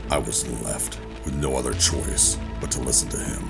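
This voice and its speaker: An extremely low-pitched, brooding operative played by a male actor in a sci-fi audio drama. He is bitter and defiant, a man pulled back into service against his will. Music plays clearly behind his voice. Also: fairly even intonation, moderate pace. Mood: brooding narration. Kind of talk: sci-fi audio drama acting with first-person narration. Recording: music bed under the voice.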